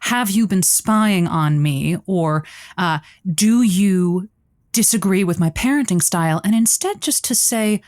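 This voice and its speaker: A woman's voice: mid-range voice, expressive, measured pace, darkish tone, no room sound, studio quality, lightly acted. A woman playing a well-meaning, easily flustered radio advice host in a comedy show, hesitant and diplomatic as she talks. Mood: diplomatic, encouraging. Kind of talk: improvised comedy call-in show acting.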